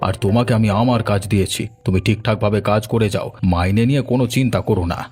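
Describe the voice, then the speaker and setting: Fast-talking cartoon village man voiced by an actor. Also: on the low side, a little mellow, slightly rough. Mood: pleased. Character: male villagers in a children's cartoon. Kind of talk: children's cartoon dialogue.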